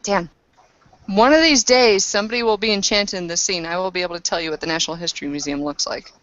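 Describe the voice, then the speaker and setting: A woman's voice: medium pitch, fast talker, fairly bright tone, no echo, muffled recording. A woman roleplaying in a tabletop game, talking casually and bluntly with plenty of swearing. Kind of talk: in-character roleplay chat.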